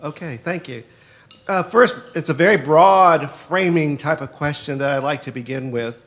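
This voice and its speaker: A man's voice: fairly high pitch, normal speed, a bit bright. A man moderating a university panel, reading guest bios with some stumbles and posing questions. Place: university lecture hall.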